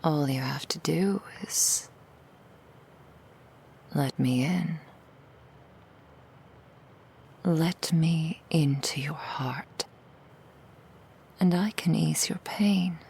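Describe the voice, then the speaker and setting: A woman playing a seductive female demon in an ASMR roleplay, coaxing the listener in a breathy whisper with soothing, insidious persuasion. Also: low-pitched, extremely slow, long pauses, darkish tone, heavily acted. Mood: coaxing, falsely tender. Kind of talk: ASMR roleplay, intimate monologue.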